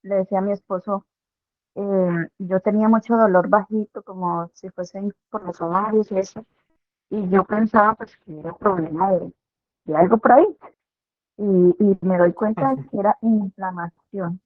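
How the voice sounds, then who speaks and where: Mujer por videollamada de sonido metálico. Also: female voice, medium pitch, moderate pace, dry recording. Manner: hesitant, with many "eh" fillers. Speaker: student giving a testimony. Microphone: video call. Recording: tinny phone-like video call audio.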